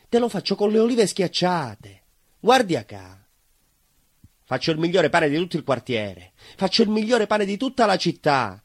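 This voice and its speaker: An actor playing an anguished, naive baker with a southern Italian accent, confessing his shame in an intense, halting theatrical monologue full of obsessive repetition. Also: fairly high pitch, breathy edge, no room sound, studio quality, exaggerated voice. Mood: frantic, proud chanting. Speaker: stage actor.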